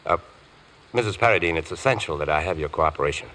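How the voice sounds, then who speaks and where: Casting: smitten, insistent barrister, deep voice, urgent and protective, 1950s radio drama style. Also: male voice, expressive, fast, fairly bright tone, a little hiss or hum. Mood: earnest, probing. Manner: hesitant starts, insistent, urgent. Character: earnest, stubborn male defence barrister, protective and smitten. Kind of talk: old-time radio drama acting.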